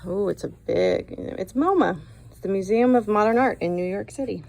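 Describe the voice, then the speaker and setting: A woman reading a picture book to children in an expressive, guiding way, adding comments and questions as a teacher would. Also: mid-range voice, normal speed, creaky, noisy background. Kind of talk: read-aloud for children with commentary asides.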